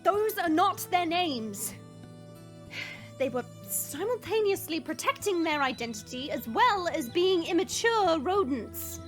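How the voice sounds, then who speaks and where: High-voiced, regal princess played by a woman. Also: very animated, measured pace, faint music behind, exaggerated voice. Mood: indignant. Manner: formal, commanding. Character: proud young dragon princess, regal, resolute, haughty. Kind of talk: fantasy audio drama acting.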